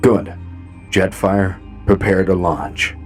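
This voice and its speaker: A man playing a calm, authoritative robot commander in a sci-fi audio drama, speaking in a measured, commanding way. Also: sits low, loud music behind the voice.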